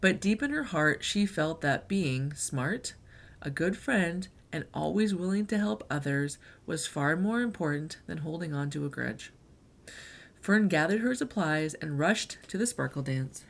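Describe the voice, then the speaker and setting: Expressive woman reading a picture book, lively pitch. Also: a little slow, gravelly. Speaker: read-aloud storyteller.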